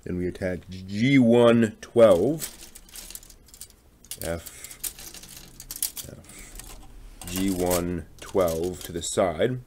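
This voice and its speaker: Man, very deep voice, unhurried pace, casual build-along chat, halting, absorbed muttering. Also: darkish tone, a little hiss or hum. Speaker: hobby channel host building a model kit on stream.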